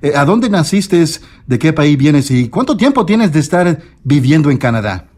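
A man's voice: a little high, big pitch swings, normal speed, a little mellow. A man hosting a Spanish-language radio show, interviewing a guest with many fillers and restarts. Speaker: radio host.

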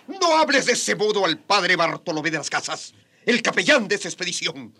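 An actor in a radio drama playing a sneering, sadistic Spanish soldier with a raspy voice. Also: male voice, high-pitched, sing-song, brisk, pushed and bright, full character voice. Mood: scornful. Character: sneering sadistic male Spanish soldier who gloats cruelly.

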